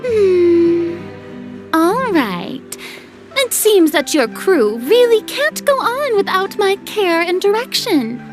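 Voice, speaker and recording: A woman's voice: very high-pitched, big pitch swings, takes it slowly, over music, full character voice. A woman playing a proud, theatrical young star turned film director in a video game, haughty and self-important.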